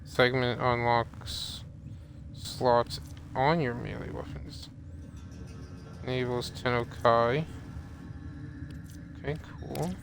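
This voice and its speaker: A man with a medium-pitched voice streams a game casually. He talks slowly in short, laid-back bits, half to himself, with faint game music behind him. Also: steady tone, creaky. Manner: laid-back, muttering to himself, reading screen text. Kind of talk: casual live gameplay commentary.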